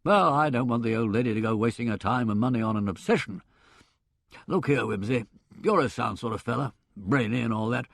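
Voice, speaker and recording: A man voicing a blustering, boorish middle-aged country squire, hearty and loud in manner. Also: mid-range voice, a little fast, creaky, no echo, studio quality.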